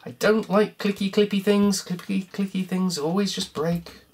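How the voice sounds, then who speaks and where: A croaky speaker rambles through a self-mocking running commentary while taking apart a cassette player at home. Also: medium pitch, steady tone, moderate pace, a little mellow, a little hiss or hum. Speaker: hobbyist repair vlogger.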